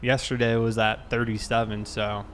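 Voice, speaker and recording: A man's voice: mid-range voice, level tone, moderate pace, a bit bright, a little hiss or hum. An American man chatting casually and in a laid-back, conversational way while livestreaming a game.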